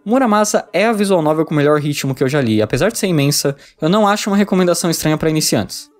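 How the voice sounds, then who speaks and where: A man's voice: on the high side, animated, racing, faint music behind. A man with a Brazilian accent reading a scripted video review.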